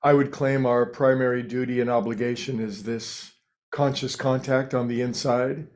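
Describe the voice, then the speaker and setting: A man with a very even, level delivery leads a guided meditation as its teacher. He is calm and unhurried, setting out short phrases with space between them. His pitch sits in a middle range and moves very little.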